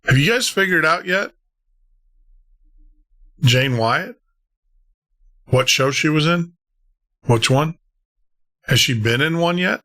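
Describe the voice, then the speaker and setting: A man hosting a podcast in a loose, conversational way, chatty and quick to apologize. His voice is bright and forward, with easy laughs between thoughts. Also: fairly high pitch, expressive. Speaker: podcast host. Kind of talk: casual chat.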